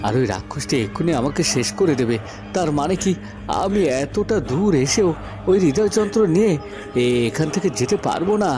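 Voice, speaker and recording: A man's voice: fairly high pitch, expressive, brisk, music in the background, lightly acted. A man voicing an earnest young prince in a melodramatic cartoon, often stammering and repeating his words. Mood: frightened. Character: earnest young prince, devoted lover, easily frightened.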